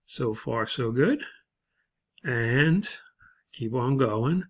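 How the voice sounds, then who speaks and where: A man narrating an unscripted, step-by-step spreadsheet tutorial as an instructor, in a halting way. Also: mid-range voice, lively intonation, husky.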